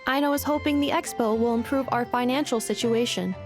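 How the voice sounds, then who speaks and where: A composed young female robot assistant from a video game, acted by a woman, with a high voice. She is polite and precise, giving formal, measured explanations. Faint music sits behind her. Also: lightly acted. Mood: matter-of-fact.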